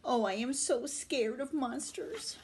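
A woman's voice: high-pitched, slow, a little mellow, a little hiss or hum. A woman voicing a lovable, frightened male furry monster from a picture book, dramatic and pleading. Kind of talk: children's picture book read-aloud.

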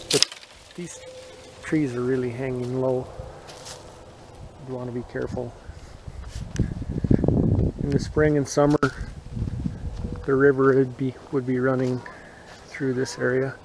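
A man giving a relaxed, matter-of-fact walking tour of a riverside campsite outdoors in winter, recorded on a handheld camera. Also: medium pitch. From United States. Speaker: travel vlogger. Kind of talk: casual walking tour narration. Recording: loud outdoor noise on camera mic.

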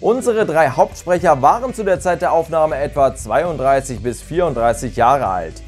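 A man, narrating a scripted review in measured, carefully worded storytelling as a channel host. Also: a little high, animated. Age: 30s. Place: small furnished room, indoors. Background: background music.

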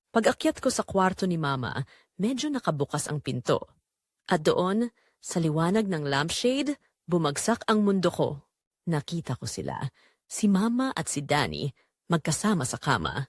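A woman's voice: fairly low pitch, no echo, clean studio sound. A woman playing an anguished, betrayed wife who narrates her story in an emotive, confiding way for an audio drama. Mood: tense, dreading. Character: Rosa, anguished betrayed wife, doubting her own sanity. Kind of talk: dramatic first-person scripted narration.

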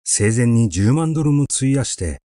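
Expressive mellow-voiced male story narrator. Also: mid-range voice, moderate pace, no room sound, clean studio sound. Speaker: audiobook narrator. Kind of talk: literary short story reading.

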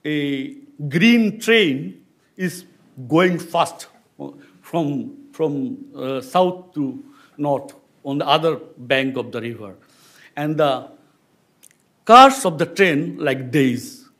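Slow, halting older man at a lectern. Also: on the high side, lively intonation, fairly bright tone. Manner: slow, halting, with repeated restarts. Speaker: visiting writer on a panel. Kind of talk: reflective personal speech. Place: public library meeting room. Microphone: lectern microphone.

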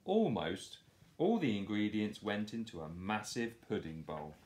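A man in his thirties reading a picture book aloud to children in a playful, expressive storytelling style. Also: fairly low pitch, measured pace. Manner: animated, playful delivery. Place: small living room, on a sofa indoors. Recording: roomy home echo.

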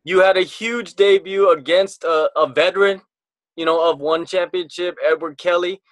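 A young adult man runs the interview over a webcam call, posing leading, encouraging questions. His voice is a bit high for a man, and the sound is close and dry with no room echo. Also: fairly even intonation, moderate pace. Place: indoors, home room. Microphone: video call webcam.

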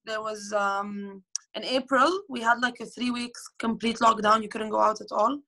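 A young woman from Egypt talking over a video call from home. Her voice is bright and forceful and a little high. She chats casually, stops and restarts often, and uses many fillers. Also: fairly even intonation, normal speed, poor audio. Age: 20s. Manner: chatty, with frequent fillers and restarts. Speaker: professional squash player, guest. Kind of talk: casual interview answers. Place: bright indoor room, at home. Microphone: phone or laptop camera on video call.

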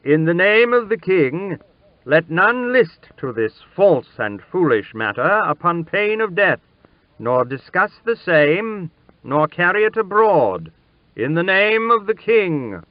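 A man reading aloud and voicing a splendid court official making a loud, solemn proclamation. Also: high-pitched, big pitch swings, slow, no room sound, pitched above the performer's own voice, full character voice. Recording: dull lo-fi dry recording.

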